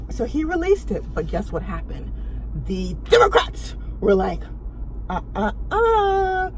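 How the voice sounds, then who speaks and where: Animated American woman, playful comic explainer. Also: a little high, slight background noise, rough recording. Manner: playful, mimics people she quotes in a mock voice. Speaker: host of a politics explainer show. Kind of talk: casual, comic explainer with stick puppets.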